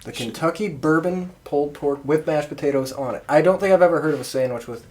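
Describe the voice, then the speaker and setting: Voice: mid-range voice, quick pace, a little mellow. A podcast host chatting casually. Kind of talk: casual chat.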